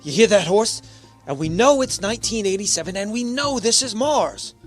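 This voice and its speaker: A man's voice: sits high, expressive, normal speed, faint music behind. A man playing a stern, skeptical, logic-minded spaceship captain in a radio drama. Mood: disbelieving.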